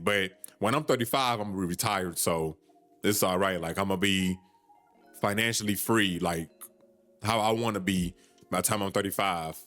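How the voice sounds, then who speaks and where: A man's voice: lowish voice, a bit bright. A man in his early thirties hosting a podcast, giving casual money advice in a loose, slangy way, with many fillers and restarts. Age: 30s. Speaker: podcast host. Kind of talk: casual finance talk.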